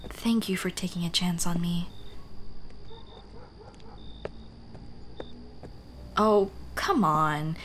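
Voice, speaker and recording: A woman playing a shy, earnest Dullahan schoolteacher in an ASMR roleplay, speaking softly and expressively. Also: fairly low pitch, measured pace, a little hiss or hum. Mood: bashful, sweet. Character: shy, earnest female Dullahan teacher, headless monster girl on a date.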